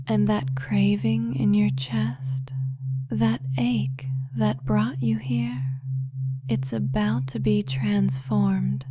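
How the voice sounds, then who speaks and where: A woman performing a scripted hypnosis monologue in a breathy ASMR whisper, speaking in deliberate, commanding, measured phrases. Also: medium pitch, warm tone.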